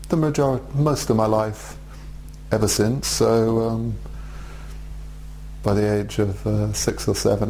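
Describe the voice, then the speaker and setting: A man in his forties with an English accent, born in Russia, giving a measured, hesitant video address as a Buddhist teacher. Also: medium pitch, mellow, a little hiss or hum, poor audio. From Russia, raised in England. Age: 40s. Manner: measured, thoughtful, many hesitations. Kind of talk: direct-to-camera video address.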